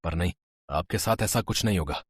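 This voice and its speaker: A man's voice: low-pitched, brisk, husky, no room sound. A man voicing an indignant twenty-year-old grandson in a storybook tale, earnest and reproachful. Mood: angry, hurt.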